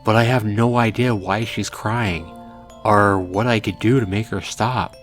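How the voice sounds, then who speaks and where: A man hosting a let's play, reading a visual novel aloud in a dramatic way and adding hushed asides. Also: on the low side, fairly even intonation, a little slow. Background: game music.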